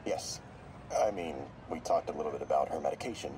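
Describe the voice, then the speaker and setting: A deep-voiced, uneasy therapist. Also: male voice, background noise. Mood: nervous. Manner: measured, professional, nervous under pressure. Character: middle-aged male therapist, calm and uneasy.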